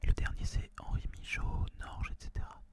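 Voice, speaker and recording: A young man from France hosting ASMR, whispering breathily to viewers in a soothing, deliberate manner. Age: young adult. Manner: soothing, deliberate, repeats phrases softly. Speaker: ASMR video host. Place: small student apartment, indoors.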